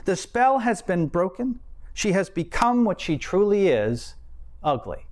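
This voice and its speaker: A man's voice: sits high, very animated, measured pace. A man preaching a scripted sermon in formal, erudite language, with wry asides. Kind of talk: scripted sermon, read like an essay.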